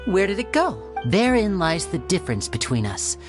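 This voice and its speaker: A woman voicing a charming, confident young male stage magician in a video game, with a smooth, courteous, theatrical delivery. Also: lowish voice, very animated, normal speed, over music. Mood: showmanlike.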